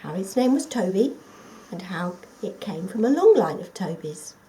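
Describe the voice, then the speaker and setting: Expressive woman reading a children's story, lively pitch. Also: moderate pace, a little hiss or hum, rough recording. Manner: dramatic, often dropping to a hush. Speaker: volunteer storyteller.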